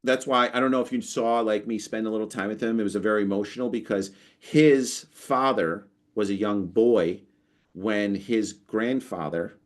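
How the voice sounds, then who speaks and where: Deep-voiced man telling a rambling story. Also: moderate pace. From United States. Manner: animated, storytelling, lots of restarts. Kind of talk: casual conversation.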